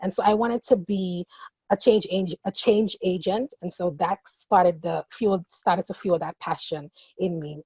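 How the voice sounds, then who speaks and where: A woman graduate student on a panel, telling her path into education with passion, turning quieter and heavier as she speaks of loss. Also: on the low side, fairly even intonation, unhurried, slightly rough, no room sound. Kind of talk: earnest personal account. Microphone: video call. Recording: dull lo-fi video call audio.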